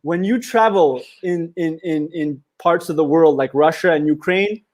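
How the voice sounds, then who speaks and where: Calm, agreeable male guest. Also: fairly high pitch, moderate pace. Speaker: interview guest. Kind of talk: casual interview chat.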